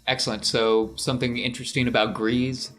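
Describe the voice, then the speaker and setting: A man's voice: medium pitch, little pitch movement, a little fast, fairly bright tone, faint music behind. A man hosting and narrating a tabletop role-playing podcast as game master.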